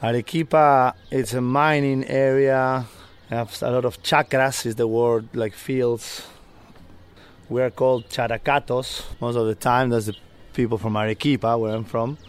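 A man from Peru with a Peruvian Spanish accent, a Spanish speaker giving loose, halting casual interview answers. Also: medium pitch, steady tone, clear, resonant tone. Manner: loose, halting non-native English with laughs. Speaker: whitewater guide and interview guest. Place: rooftop outdoors in an old city. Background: faint outdoor street and bird sounds.

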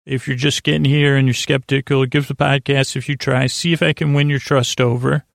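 A man hosting a sleep podcast in a rambling bedtime monologue, creaky and gravelly, halting and stammering as he repeats words and wanders off. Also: medium pitch, flat delivery, dry recording, clean studio sound. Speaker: podcast host.

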